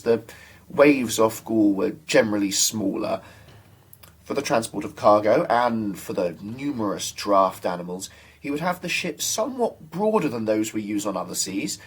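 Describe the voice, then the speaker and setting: Young man, slightly husky voice, medium pitch, formal dramatic reading, deliberate phrasing with pauses. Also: rough recording. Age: young adult. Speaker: student reader. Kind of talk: dramatic reading of a historical text.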